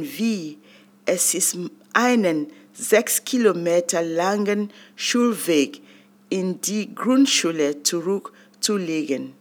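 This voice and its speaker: An older woman from Cameroon reads her own life story aloud, and German is not her first language. She goes very slowly, pausing between short phrases, and her voice sits slightly low. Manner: slow, deliberate reading with long pauses. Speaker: autobiographical reader. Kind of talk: reading her own memoir text.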